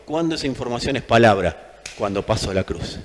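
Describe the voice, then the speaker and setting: A man's voice: medium pitch, lively intonation, fairly quick. Argentine male preacher in a reverberant hall. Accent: Argentine Spanish. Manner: emphatic, repeats phrases for effect. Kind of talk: sermon.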